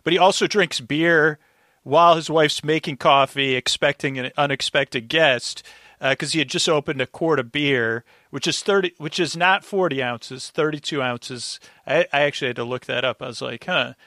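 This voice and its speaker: A man's voice: fairly high pitch, normal speed, sharp tone, no echo, clean studio sound. A man co-hosting a podcast, casually discussing and reviewing a drama. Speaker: podcast co-host. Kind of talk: casual chat, reviewing a radio show.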